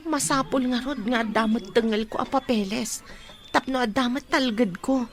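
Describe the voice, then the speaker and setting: A woman's voice: fairly high pitch, moderate pace, close to an everyday voice. An actress playing a poor young woman, wary and easily awed, in an Ilocano radio drama. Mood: wary, firm.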